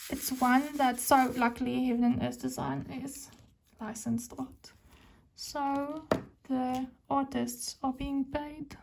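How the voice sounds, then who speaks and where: A South African woman chatting while she crafts, slipping into whispers as she counts. Her voice sits high but stays fairly level, and she talks at an unhurried, slow pace. Her tone is mellow and soft. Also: gravelly, low-quality recording. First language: Afrikaans. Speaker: crafting vlogger. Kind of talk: casual chat while crafting.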